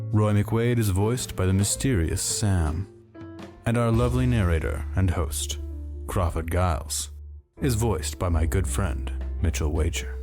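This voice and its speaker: A man's voice: deep voice, mellow. A man reading scripted closing credits as the director of an audio production. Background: guitar music.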